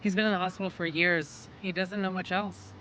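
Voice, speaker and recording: Lowish-voiced weary mother, played by an actress. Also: steady tone, fairly quick, fairly bright tone, slight background noise, close to an everyday voice. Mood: apologetic, sad. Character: weary, apologetic mother of a sick boy. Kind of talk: audio drama acting.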